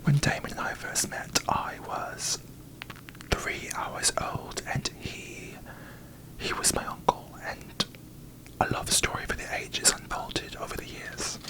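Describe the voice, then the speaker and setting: A performer voicing a haughty, disdainful fantasy queen in a breathy ASMR whisper, with pompous, deadpan, regal diction, as a comic parody. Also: slow pace, natural voice, rough recording.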